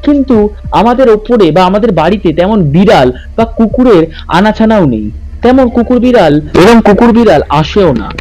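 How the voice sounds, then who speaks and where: A man's voice: sits high, expressive, normal speed, darkish tone, rough recording. A man narrating in a dramatic, suspenseful ghost-story style as a channel host. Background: background music.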